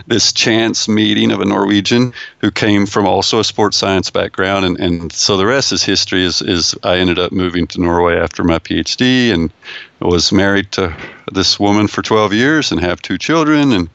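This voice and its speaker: A man's voice: deep voice, normal speed, gravelly, a bit bright, no room sound, highs cut off. A man in his fifties, a sports science professor, answering interview questions in a thoughtful way with restarts and small laughs.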